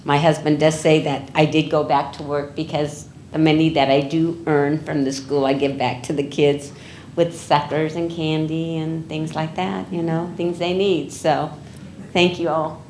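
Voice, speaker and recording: A low-voiced woman accepting an award with a short, heartfelt thank-you, her voice loud and close to tears. She speaks into a microphone in an echoey meeting chamber, with faint noise behind her. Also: flat delivery, unhurried. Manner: emotional, tearful, loud-voiced. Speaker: award honoree. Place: county board meeting room.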